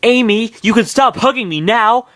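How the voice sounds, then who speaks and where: A man's voice: high-pitched, slow, a bit bright, voice pushed up. A man voicing a nervous young male cartoon hedgehog who asks timid, uneasy questions.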